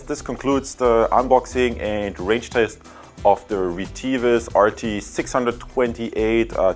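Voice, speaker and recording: A man from Europe giving a casual tech product review in a hesitant, rambling way. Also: medium pitch.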